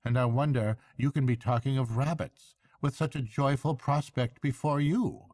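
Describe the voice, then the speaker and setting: A man's voice: medium pitch, steady tone, moderate pace, darkish tone, no echo, pitched above the performer's own voice, natural voice. A man doing a hearty, chatty barge woman. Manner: hearty, chatty, falsely respectful. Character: hearty, shrewd stout barge woman, middle-aged. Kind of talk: storybook character voice.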